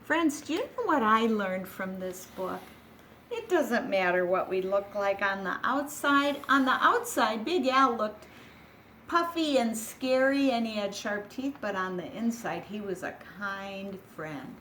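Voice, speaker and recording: Slow, expressive woman reading to children. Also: mid-range voice, slight background noise. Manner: gentle, expressive, slow with dramatic pauses. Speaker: storyteller reading a picture book aloud. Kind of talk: children's read-aloud.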